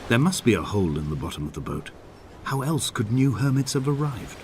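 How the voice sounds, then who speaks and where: An actor playing a grieving, weary male castaway, narrating a video game's literary monologue in a solemn, measured, poetic way. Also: medium pitch, sing-song, noisy background, natural voice. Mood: brooding. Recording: voice over music.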